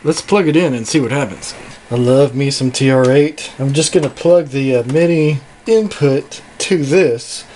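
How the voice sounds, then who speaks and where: A man's voice: mid-range voice, normal speed, darkish tone, a little hiss or hum. A man giving a casual product review and demonstration as a synthesizer reviewer. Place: indoor home studio with synths. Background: synthesizer and drum machine music.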